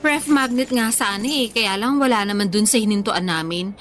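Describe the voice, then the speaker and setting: A woman's voice: medium pitch, normal speed, fairly bright tone, natural voice. A woman playing a playful, stubborn, food-loving woman in her thirties, bantering casually in a radio drama. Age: 30s.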